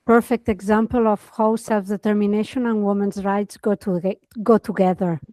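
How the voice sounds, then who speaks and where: A woman's voice: medium pitch, steady tone, moderate pace, warm tone, no echo. Woman moderator, short interjections, dry close sound. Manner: brief interjections and questions. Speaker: webinar moderator. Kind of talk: webinar moderation. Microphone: video call.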